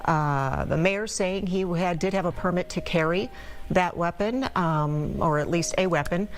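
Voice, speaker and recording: Low-voiced woman anchoring live news. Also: a little slow. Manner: hesitant, with many fillers.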